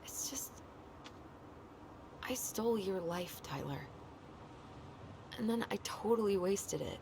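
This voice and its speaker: An actress playing a self-doubting, caring young adult sister with an American accent in a naturalistic video game cutscene. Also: female voice, medium pitch, animated, slow pace, vocal fry, background noise. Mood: guilty, upset.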